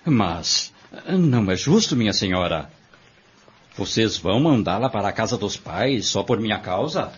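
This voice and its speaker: A man acting a courteous, husky, low-voiced travelling salesman. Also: very animated, moderate pace, exaggerated voice. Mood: concerned protest. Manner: courteous, formal. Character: polite, grateful male travelling salesman in 1840s America. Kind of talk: radio drama acting. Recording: dull, lo-fi home recording.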